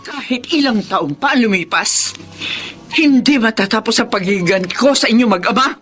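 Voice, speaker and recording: A woman's voice: fairly high pitch, animated, moderate pace, a bit bright, soft background music. A woman playing a stern, unforgiving, vengeful mother in a Filipino radio drama. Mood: bitter. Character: bitter, vengeful, unforgiving mother named Amanda.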